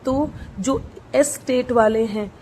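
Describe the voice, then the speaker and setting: A woman's voice: a little high, takes it slowly, dark tone, a little hiss or hum. A young woman teaching exam preparation, walking listeners step by step through an answer sheet and repeating key points. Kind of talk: explaining an exam form.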